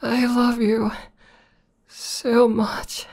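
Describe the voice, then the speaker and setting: Woman doing soft lovestruck tomboy demon gf, asmr roleplay, slow n halting, bit of vocal fry. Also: a little high, level tone, heavily acted. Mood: happy, adoring. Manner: soft, halting, breathy half-whispers with emotional stammers. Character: tender, lovestruck tomboy demoness, millennia old, newly proposing. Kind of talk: ASMR romantic roleplay audio.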